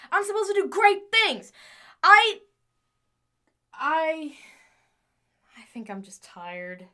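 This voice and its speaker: A woman playing an excitable, scheming inventor in an audio drama, theatrically talking to herself as her moods swing. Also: on the high side. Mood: frustrated, grandiose, then tired, subdued.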